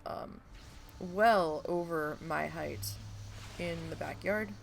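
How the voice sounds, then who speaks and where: A woman's voice: lowish voice, slow pace, vocal fry, noisy background. A woman streaming a game and chatting casually to viewers, her voice stuffy and nasal from allergies, rambling with many ums. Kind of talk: casual live-stream chat.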